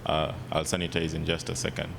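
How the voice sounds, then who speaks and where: Deep gravelly emcee in a hall. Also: male voice, monotone. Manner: halting, with fillers and restarts. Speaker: event emcee. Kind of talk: event announcements. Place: large event hall. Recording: long hall reverb, loud rumble.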